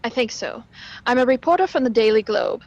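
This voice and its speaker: An actress playing an inquisitive, poised sleuth's companion in an old-time radio drama. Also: female voice, a little high, animated, a little fast, darkish tone. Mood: inquisitive, earnest. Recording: dull lo-fi stream audio.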